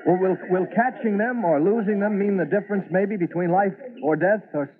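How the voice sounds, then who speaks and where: A man's voice: high voice, moderate pace, crowd noise, lightly acted. An American man acting in an old-time radio drama as an eager, earnest young rookie fireman. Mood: earnest, concerned. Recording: phone-like vintage radio recording, background commotion.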